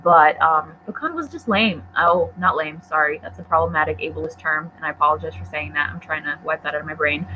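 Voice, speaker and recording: A woman's voice: deep voice, fairly quick, few pauses, bright, forceful tone. A young woman born in Poland chatting casually on a livestream, answering viewer questions in a rambling, self-deprecating way with many fillers. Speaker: book vlogger. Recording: tinny phone-like livestream audio, faint noise.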